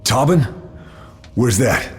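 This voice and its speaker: An actor playing a hardened American wartime sniper officer, narrating a war game in a terse, world-weary, laconic manner. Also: male voice, mid-range voice, expressive, slow pace, husky, faint music behind, full character voice. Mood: urgent, demanding. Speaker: narrator and protagonist.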